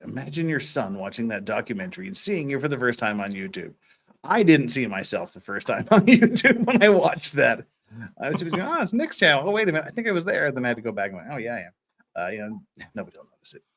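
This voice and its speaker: A man hosts a casual livestream with a highly animated, swinging delivery. He chats off the cuff and teases his audience in a playful, joking way. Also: quick pace. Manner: jokey teasing, playfully scolding viewers. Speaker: livestream host. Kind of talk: casual livestream chat, thanking viewers and reading comments.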